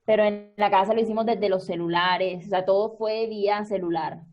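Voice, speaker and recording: A woman's voice: medium pitch, steady tone, moderate pace, darkish tone, lo-fi sound, faint music behind. A woman who directs and acts, explaining casually with hesitant starts. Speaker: radio theatre actress and director. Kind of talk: casual talk.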